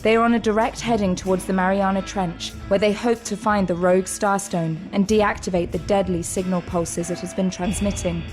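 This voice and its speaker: A woman's voice: medium pitch, steady tone, brisk, hardly any pauses, a little mellow, soft background music, close to an everyday voice. A woman narrating a sci-fi audio drama as a solemn storyteller, in a dramatic scripted style. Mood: solemn, suspenseful.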